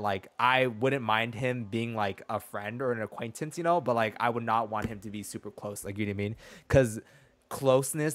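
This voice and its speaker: Sassy young man streaming. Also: medium pitch. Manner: expressive, sassy, slangy. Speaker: game streamer. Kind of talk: casual chat and reaction to a story game. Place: small dim room indoors.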